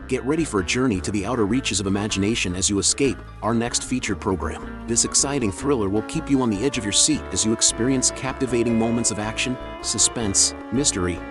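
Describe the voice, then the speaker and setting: A man with a medium-pitched voice announces over clear background music. His delivery is scripted promotional narration, read as a programme announcer.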